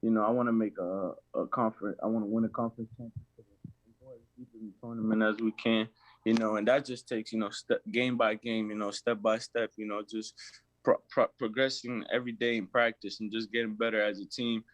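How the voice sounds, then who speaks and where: Young man, halting "you know" answers. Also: lowish voice, little pitch movement, no echo, poor audio. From Lawrence, Massachusetts. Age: young adult. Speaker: college basketball player, guest. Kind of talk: casual interview answers.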